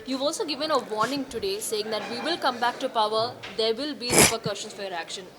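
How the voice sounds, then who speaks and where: A woman's voice: fairly high pitch, quick pace. A woman with an Indian accent putting questions as a reporter at a press event. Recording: clearly noisy press room pickup.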